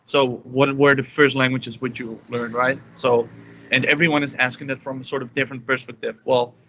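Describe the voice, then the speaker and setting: A man's voice: medium pitch, flat delivery, moderate pace, pushed and bright, slight background noise, low-quality recording. A young Dutch man with a Dutch accent, answering interview questions in a rambling, casual way, full of "you know" and "like". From Netherlands. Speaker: guest, language learner.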